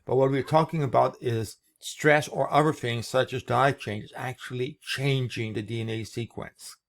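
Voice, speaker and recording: A man with a medium-pitched voice presents an informal explanation, as if walking someone through what is on a screen. He speaks in short phrases with a halting, unscripted delivery. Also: fairly even intonation, creaky.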